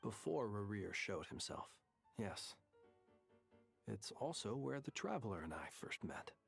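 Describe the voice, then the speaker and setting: Laconic stoic man, gravelly, deadpan, formal diction, video game dialogue, music behind. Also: fairly low pitch, measured pace, heavily acted. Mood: reserved.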